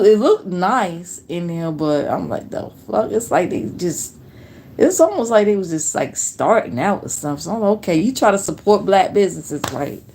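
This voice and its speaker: Late-forties female streamer, low-set voice, loose slangy chat, light background hiss. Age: 40s. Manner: relaxed storytelling with slang and laughs. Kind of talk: casual live-stream chat.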